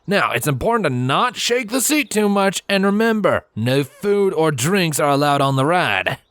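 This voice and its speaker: Man doing a cheeky young stallion, pitched up. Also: very animated, moderate pace, clear, resonant tone, no echo. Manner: loud, cheeky. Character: cheeky young stallion, fairground ride operator.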